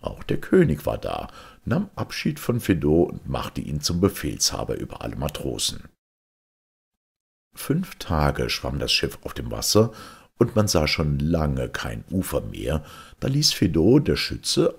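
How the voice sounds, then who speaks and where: A man reading a fairy tale aloud as an expressive storyteller. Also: extremely low pitch, measured pace, creaky, breathy edge. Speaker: storyteller, audiobook reader.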